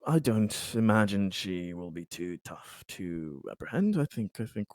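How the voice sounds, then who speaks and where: A man casually discussing plans as a player in a tabletop game, with hesitant, deliberate phrasing. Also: lowish voice, very animated, darkish tone, no echo, clean studio sound.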